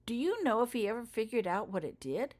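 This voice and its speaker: An earnest young schoolgirl witch from a storybook, played by a woman reader. She asks probing questions in a careful, hesitant way, and her pitch rises and swings a lot. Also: very animated, a little fast, a little mellow. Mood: curious, friendly.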